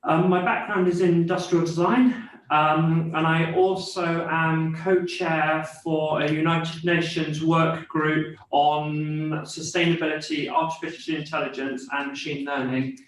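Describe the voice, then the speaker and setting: A man's voice: fairly high pitch, level tone, measured pace, few pauses. A man introducing himself on a video call in a friendly, fluent way. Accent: non-Norwegian, foreign-born living in Norway. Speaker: panelist. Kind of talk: self-introduction on a webinar. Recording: echoey, distant mic with faint background noise.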